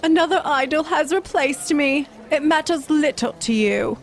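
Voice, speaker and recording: Young jilted fiancée , actress, high voice, sorrowful and sincere audio drama delivery. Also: female voice, normal speed. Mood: hurt, resigned. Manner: earnest, sorrowful. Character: hurt, resolute young fiancée of modest means. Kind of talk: theatrical audio drama acting.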